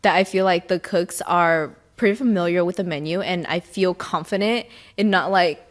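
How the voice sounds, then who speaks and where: A young woman chef and restaurant owner chatting casually as an interview guest, relaxed and slangy, laughing often. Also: on the low side, normal speed.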